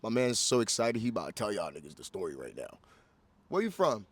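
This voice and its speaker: Excitable profane man hosting interview. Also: fairly low pitch, fairly quick, slightly rough, no echo. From Ghana. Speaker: vlog interviewer. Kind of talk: casual street interview. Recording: distant mic with background noise.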